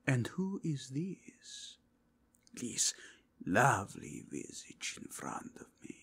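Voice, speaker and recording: Breathy, courtly werewolf inquisitor played by a man. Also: medium pitch, huge pitch swings, fairly bright tone, whispered, heavily acted. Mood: courteous, curious. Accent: mixed foreign with Spanish touches. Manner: formal, courteous, deliberate, intense. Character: zealous, courtly werewolf inquisitor who sniffs out evil. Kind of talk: ASMR roleplay, breathy and half-whispered.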